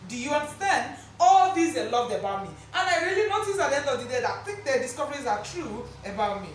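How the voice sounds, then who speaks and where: A woman with a loud, projecting voice giving a motivational teaching talk, emphatic, repeating key phrases and putting rhetorical questions to listeners. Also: high-pitched, big pitch swings, fast. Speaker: motivational speaker and presenter. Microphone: distant camera mic, no microphone on speaker. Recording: echoey room, distant mic, faint noise.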